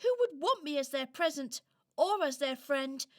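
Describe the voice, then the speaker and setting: A woman's voice: high-pitched, lively intonation, moderate pace. A woman playing a sad, scared little toy in a children's radio play, plaintive, hesitant and full of self-doubt.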